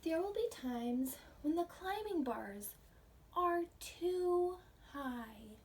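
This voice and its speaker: A woman preschool teacher reading a picture book aloud in a gentle, simple teacherly way, pausing to put questions to young listeners. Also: very high voice, lively intonation. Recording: distant microphone, faint background noise.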